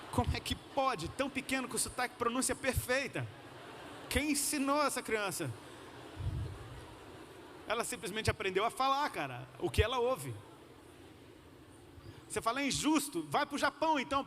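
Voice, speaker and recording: A man's voice: high-pitched, bright, forceful tone. A man with a Brazilian Portuguese accent preaching in a colloquial, slangy way, telling personal anecdotes to a congregation. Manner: colloquial, slangy, gets listeners to repeat phrases. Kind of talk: conversational sermon with personal anecdotes. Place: large hall, preaching to a congregation. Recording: echoey hall with noticeable background noise.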